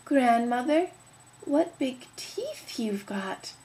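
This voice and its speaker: A woman voicing a trusting, innocent little girl with a high, slow, earnest voice in a told fairy tale. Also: very animated, warm tone, faint music behind, heavily acted. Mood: wondering.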